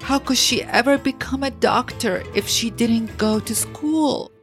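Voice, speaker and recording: A woman hosting a storytelling podcast, reading scripted narration for children in an expressive, deliberate way with dramatic pauses. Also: fairly high pitch, faint music behind.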